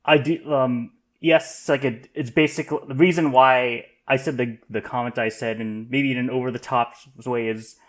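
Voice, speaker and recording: A man in a casual podcast discussion who talks haltingly, rambling and circling back to rephrase himself. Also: medium pitch.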